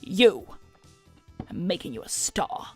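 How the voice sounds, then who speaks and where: A woman's voice: on the low side, sing-song, slow, slightly rough, soft background music, exaggerated voice. A woman voicing a seductive, manipulative talent-scout villainess, theatrical, coaxing and condescending, in an improvised cartoon roleplay. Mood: smug, silky. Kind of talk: improvised in-character livestream roleplay.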